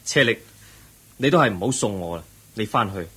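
An actor playing a calm, resolute young prince, gentle and earnest, in a radio drama. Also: male voice, fairly low pitch, a little fast, a little hiss or hum, low-quality recording. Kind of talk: Cantonese radio drama acting.

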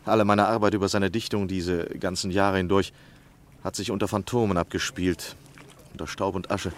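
Obsessed, charming man of letters, played by an actor, deep voice, earnest reflective delivery, vintage radio play with slight hiss. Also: steady tone, normal speed, close to an everyday voice. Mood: awed, hopeful. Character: obsessed, cunning American literary critic, earnest and charming. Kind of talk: 1960s German radio drama acting. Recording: faintly noisy 1960 radio recording.

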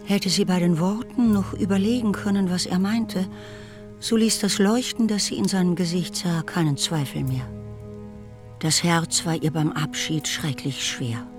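Measured woman narrator over music. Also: on the low side. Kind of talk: scripted literary narration in a radio drama.

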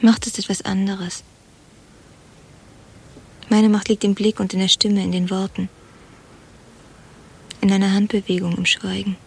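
An actress in a radio play playing a newly appointed woman director, composed, measured and coolly authoritative. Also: mid-range voice, level tone, vocal fry, husky. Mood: calm, intimate. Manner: measured, controlled, quietly commanding.

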